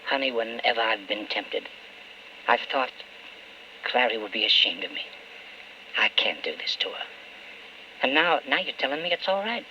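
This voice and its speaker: An actor playing a weak, wavering alcoholic husband and politician in an old-time radio drama, halting and emotional, often stammering. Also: male voice, mid-range voice, lively intonation, bright, forceful tone. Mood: pleading, uncertain. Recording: dull, faintly noisy old radio recording.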